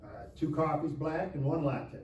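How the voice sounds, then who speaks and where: An actor playing a teasing surfer dude of twenty-two in a live comedy table read, loud and ribbing. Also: male voice, on the high side, normal speed, dull audio, natural voice. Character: gruffly teasing 22-year-old surfer dude. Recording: very echoey room, distant mic, faint noise.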